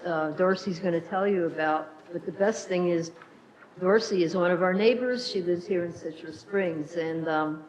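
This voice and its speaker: A woman on the council introduces a guest with upbeat enthusiasm. Her voice sits somewhat low. The recording sounds dull and lo-fi. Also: darkish tone.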